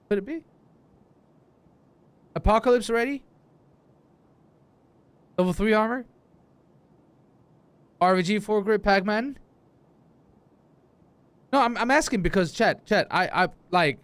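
Animated high-pitched male streamer. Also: unhurried, long pauses, clear, resonant tone, no room sound. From Denmark. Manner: animated, repetitive, slangy and profane. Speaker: live game streamer. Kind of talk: casual chat with stream viewers. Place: indoor room, streaming setup. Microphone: close desk microphone, headset worn.

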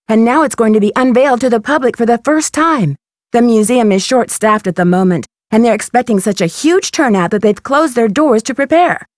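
Casting: actress as young female game detective, American, high voice, courteous and curious, clean dry recording. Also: darkish tone, dry recording, close to an everyday voice. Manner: polite, crisply articulated, earnest. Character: young female amateur detective, polite, bright and inquisitive. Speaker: detective heroine of a mystery game. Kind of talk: scripted video game voice acting.

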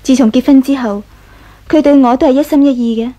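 A woman plays a gentle young wife in a Hong Kong Cantonese radio play. Her voice is high and softly mellow. She speaks politely and measuredly, full of trust. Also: fast, lightly acted. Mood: trusting, doubtful. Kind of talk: 1980s Cantonese radio drama acting.

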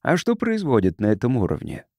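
A man's voice: mid-range voice, wildly swooping pitch, a little fast, gravelly, warm tone, dry recording, clean studio sound, exaggerated voice. A man reading the part of a curious, persistent visitor from Earth in an audiobook, polite and probing. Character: inquisitive, persistent male visitor from Earth, a sociologist.

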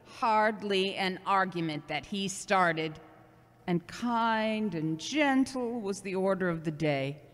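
Slow, devout mother, acted by a woman. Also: medium pitch. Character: Derek's mother, devout, earnest, instructive older woman. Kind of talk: audio drama acting. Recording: long echoey reverb.

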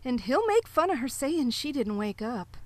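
An actress playing a timid, dutiful sheriff's wife in a live audio drama, talking hesitantly and nervously. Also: female voice, high voice, very animated, moderate pace. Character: timid prim sheriff's wife, middle-aged woman. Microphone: home closet mic, dry.

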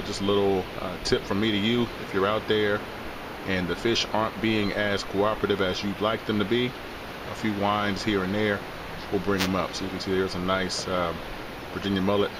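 Low-voiced man over loud background noise. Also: brisk. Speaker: host of a fishing channel. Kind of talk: casual instructional vlog narration. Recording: loud steady background hiss and rumble.

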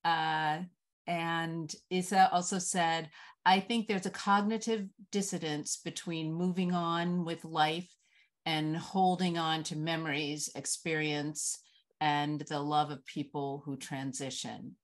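A woman's voice: sits low, steady tone, slow pace. A woman hosting and moderating a discussion over a video call. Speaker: host. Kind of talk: reading audience comments aloud, moderating.

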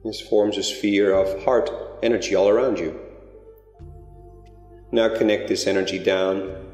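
A man leading a guided meditation in a slow, gentle, drawn-out way. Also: low voice, fairly even intonation. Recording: roomy reverberant recording with soft music. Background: new-age music.

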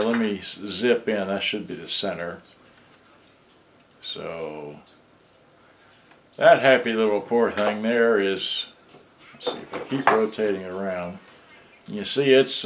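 A man giving a casual, rambling demonstration at a workbench, muttering to himself as he goes. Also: on the low side. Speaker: hobbyist repairer showing a vintage boombox. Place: cluttered indoor workbench. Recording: roomy, noisy home camera recording.

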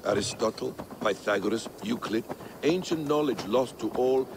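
An actor playing a worldly, thoughtful warrior-scholar in a video game, with a measured, eloquent, poetic delivery. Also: male voice, medium pitch, creaky, noisy background, close to an everyday voice. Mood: nostalgic, learned. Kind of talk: dramatic video game acting.

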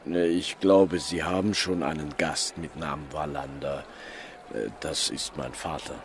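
An actor playing a weary, gruff middle-aged male detective who narrates his own story in a radio crime drama. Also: rumbling low, flat delivery, unhurried, slight background noise. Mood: weary, resigned.

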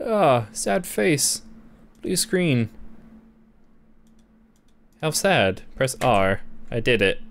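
A man's voice: mid-range voice, big pitch swings, very slow, creaky, pauses often. A young man doing casual, playful let's-play commentary, reacting and reading game text aloud. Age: young adult. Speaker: gaming video host.

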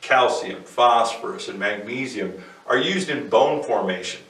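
Measured man explaining in a roomy studio. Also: fairly low pitch, lively intonation, a bit bright. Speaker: co-host. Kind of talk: scripted educational explainer. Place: indoor studio set with barn-wood backdrop. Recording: roomy echo, distant mic, faint noise.

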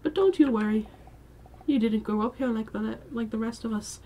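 A woman's voice: on the high side, normal speed, warm tone. A woman voicing a sweet, motherly village gossip in a put-on accent between German and Irish. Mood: concerned, reassuring. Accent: German-turned-Irish put-on.

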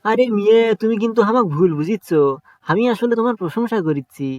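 A man's voice: high-pitched, animated, quick pace, over music. A man with a Bogura accent voicing a broke, scheming young trickster in a cartoon comedy, with cheeky, teasing banter. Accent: Bogura dialect of Bangla.

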